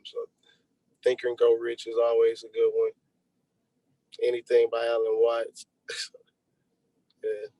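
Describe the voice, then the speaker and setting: A man's voice: mid-range voice, a little mellow, no room sound, poor audio. Guy on a video call, laid back n reflective, just chatting in an interview. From Texas, United States. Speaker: interview guest, rapper. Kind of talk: casual interview chat.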